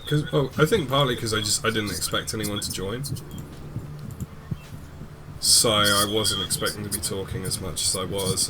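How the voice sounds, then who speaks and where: A young man, chatting in a relaxed way during a gaming stream and swearing casually. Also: fairly low pitch, noisy background.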